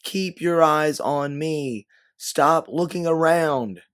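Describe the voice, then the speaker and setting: A man's voice: lowish voice, moderate pace, slightly rough. A man voicing an exasperated, commanding woman time traveller in a story. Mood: frantic yelling.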